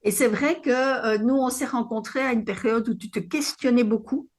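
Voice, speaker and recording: A woman hosting an interview podcast, guiding her guest with measured questions. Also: a little high. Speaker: podcast host.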